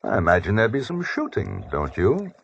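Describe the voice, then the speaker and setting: Wry polite western gentleman, kinda low husky voice, understated and formal, lo-fi old radio show. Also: huge pitch swings, moderate pace, exaggerated voice. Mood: wryly defiant. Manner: formal, understated, dryly ironic. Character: cool, wry, gentlemanly frontier adventurer protecting a youth. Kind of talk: old-time radio western acting and first-person narration. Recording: dull, lo-fi old radio recording.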